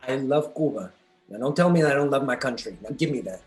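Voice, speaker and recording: A man voicing a protective teenage boy who calms a younger girl in a table read. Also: mid-range voice, quick pace, soft background music, pitched above the performer's own voice, close to an everyday voice. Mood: defiant. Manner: gentle, reassuring.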